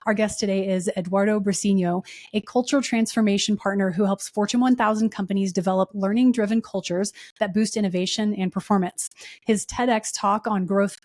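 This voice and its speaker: A woman's voice: mid-range voice, a little mellow. A woman speaking as a podcast host, introducing and interviewing a guest.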